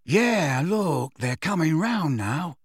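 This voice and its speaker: A man's voice: a little high, big pitch swings, slow, slightly rough, no room sound, clean studio sound, pitched above the performer's own voice, exaggerated voice. A man voicing a cheeky, cocky teenage boy prankster in an audiobook, breezy and defiant.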